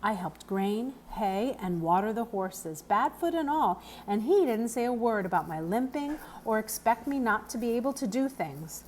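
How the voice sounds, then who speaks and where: A woman reads a children's novel aloud in an expressive storytelling style. Her voice is on the high side and moves up and down a great deal. There is a little noise in the background. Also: moderate pace, hardly any pauses. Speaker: book reader.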